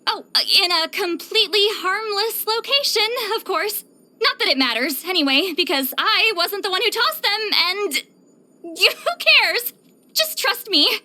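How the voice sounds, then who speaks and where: A woman's voice: extremely high pitch, lively intonation, a little slow, bright, forceful tone, full character voice. An actress voicing a prickly, easily flustered, reclusive shaman in a video game, with a theatrical, defensive manner. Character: prickly, flustered, reclusive female shaman who loves novels and wine. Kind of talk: English video game character voice lines.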